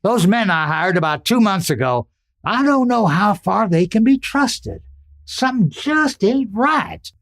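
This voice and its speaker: Guy doing folksy worried old west rancher, high voice, swings all over, radio play. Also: sing-song, unhurried, creaky, a bit bright, full character voice. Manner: rustic cowboy drawl, folksy grammar. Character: worried, hot-tempered folksy male Western rancher, a father. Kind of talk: Western radio drama acting.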